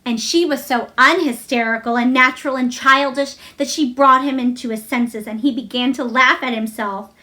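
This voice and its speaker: High-voiced woman reading a storybook. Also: moderate pace, hardly any pauses, sharp tone. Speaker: read-aloud storyteller. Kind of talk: expressive storybook narration for children.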